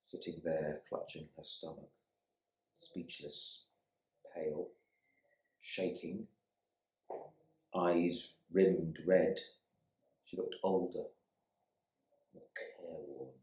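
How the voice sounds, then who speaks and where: A man playing a bitter, stiff husband back from war in a dramatic monologue, with clipped, halting, disdainful phrasing. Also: rumbling low, fairly even intonation, mellow. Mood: wry, brooding. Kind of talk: dramatic monologue reading from a novel. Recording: roomy indoor echo.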